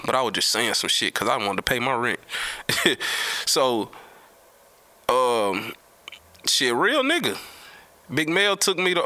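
A man's voice: a little high, pushed and bright. A man with a Southern US accent telling a story casually and repeating himself, in slangy, profane language. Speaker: host telling stories from the music business. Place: small indoor studio at a table. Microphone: studio mic on a boom arm, close.

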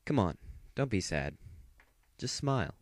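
Casting: male actor as teasing male cartoon cat, unhurried, playful and coaxing, dry close sound. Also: on the low side, very animated, no room sound, voice pushed down. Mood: gentle, coaxing. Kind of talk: amateur cartoon voice acting audition.

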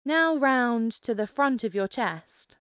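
Female meditation guide: clear, smooth tone, very measured pace, calm instructive delivery. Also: fairly high pitch, no room sound, clean studio sound. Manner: calm, instructive, unhurried with pauses. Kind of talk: guided mindfulness meditation narration.

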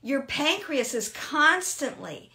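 Bright-voiced woman in her sixties. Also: high voice, slow. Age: 60s. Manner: chatty, animated, laughs often. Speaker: fitness host. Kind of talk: casual live Q&A. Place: home room with shelves, indoors.

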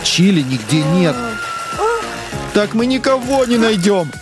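An actor voicing an excitable, friendly narrator of a children's truck cartoon, exclaiming and talking straight to the characters and little kids. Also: mid-range voice, measured pace. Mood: cheerful, encouraging. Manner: exclamatory, addresses characters and small children directly. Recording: music behind the voice.

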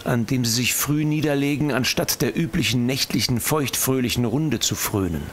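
An actor playing an observant gentleman explorer reading his diary in an audio drama, formal and measured. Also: mid-range voice, slight background noise, lightly acted. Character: expedition diarist, composed, observant, quietly amused gentleman.

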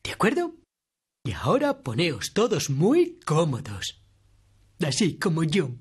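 A man's voice: fairly high pitch, huge pitch swings, measured pace, husky, exaggerated voice. A man playing a playful, mischievous boy puppet in a children's show, with a Castilian Spanish accent and a bouncy, coaxing manner.

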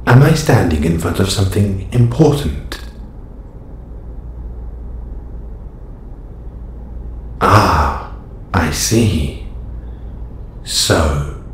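A calm robot superhero, acted by a man for an audio roleplay, low in pitch and very unhurried. The phrasing is stilted and formal, and the voice rings in a roomy echo. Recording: roomy echo, slight background noise.

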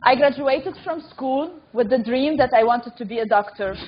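A woman's voice: on the high side, slight background noise. Earnest young woman on a muffled call. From Lebanon. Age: young adult. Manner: earnest, simple direct sentences, repeats phrases for emphasis. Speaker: invited speaker giving testimony. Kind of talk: personal testimony on a webinar.

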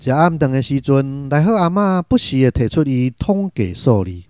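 A man's voice: medium pitch, animated, moderate pace, dark tone, no echo, faint music behind, close to an everyday voice. A man with a Taiwanese accent narrating a literary radio drama from a script.

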